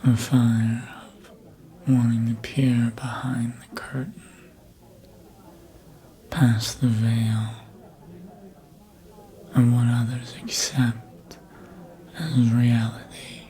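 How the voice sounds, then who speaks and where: Casting: performer to play a calculating, seductive vampire mentor, whispered ASMR roleplay, very unhurried and intimate delivery. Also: medium pitch, little pitch movement, mellow, low-quality recording. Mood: coaxing, admiring. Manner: slow, deliberate, intimate whispering.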